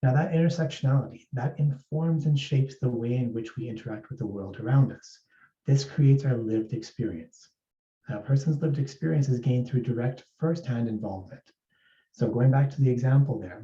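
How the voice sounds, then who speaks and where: A man from East Africa giving a measured, explanatory workplace presentation as an HR speaker. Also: medium pitch, mellow. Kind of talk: presentation talk. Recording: roomy, distant, dull sound.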